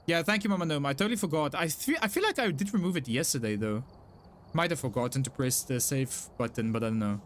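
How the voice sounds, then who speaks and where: Chatty South African streamer, Afrikaans English accent. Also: male voice, a little high, lively intonation, fast talker, slight background noise. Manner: chatty, apologetic, frequent self-repeats and "like" fillers. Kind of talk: casual live-stream chat reading chat messages.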